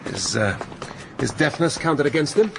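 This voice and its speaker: A man's voice: on the high side, sing-song, a little slow, creaky, background noise, heavily acted. An actor playing a shrewd, probing male police inspector with a dry, sardonic manner in a radio drama. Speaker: detective questioning witnesses. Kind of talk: naturalistic radio drama acting.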